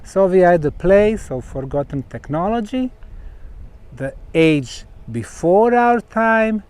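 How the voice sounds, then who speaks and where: A man gives an explanatory talk as a researcher on location, speaking deliberately in short phrases with pauses. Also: high voice, animated, a little mellow. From Bosnia. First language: Bosnian. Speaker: researcher presenting a site.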